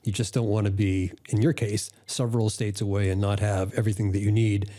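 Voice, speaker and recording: A man's voice: lowish voice, flat delivery, a little fast, dark tone. A man hosting a conversational interview, commenting and putting questions to his guest. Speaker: interviewer, host.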